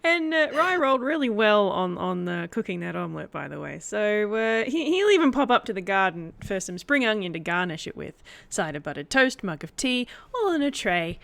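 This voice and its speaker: Creaky-voiced woman narrating role-play casually. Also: mid-range voice, sing-song, normal speed, hardly any pauses, fairly bright tone. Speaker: role-play player narrating her character. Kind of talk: casual improvised story narration.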